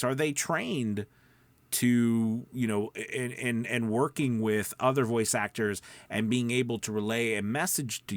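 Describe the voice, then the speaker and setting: A man's voice: mid-range voice, dry recording. A man giving casual, rambling coaching advice to viewers, emphatic and self-deprecating. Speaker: voice-over coach and video host.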